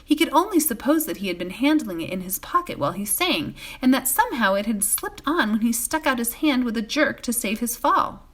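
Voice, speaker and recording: Expressive woman reading a bedtime story. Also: a little high, brisk, few pauses. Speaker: volunteer story reader.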